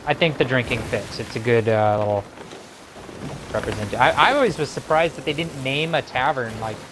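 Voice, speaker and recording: A man co-hosting a game stream, chatting and explaining in a casual, somewhat halting way. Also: medium pitch, lively intonation, noisy background. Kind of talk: casual game commentary and chat.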